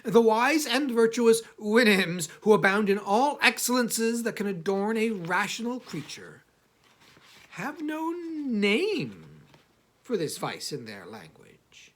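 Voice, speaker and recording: A haughty, misanthropic older gentleman traveller, voiced by a male reader, recounts his memoir in formal literary narration. His pitch rises and falls widely, and he halts theatrically, leaning on chosen words with emphatic pauses. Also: exaggerated voice. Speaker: audiobook reader. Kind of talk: dramatic first-person literary narration.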